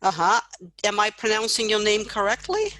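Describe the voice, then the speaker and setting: A woman professor leading an online class, greeting students and asking them to introduce themselves in an encouraging, brisk manner. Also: mid-range voice, fairly even intonation, fairly bright tone. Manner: upbeat, encouraging, brisk questions. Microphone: computer mic on video call.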